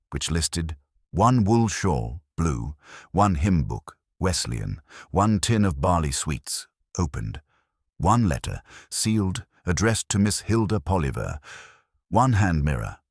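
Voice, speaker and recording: A man reading a scripted mystery story in a measured, deadpan and wry manner. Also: a bass voice, husky, no room sound, clean studio sound. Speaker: audiobook narrator.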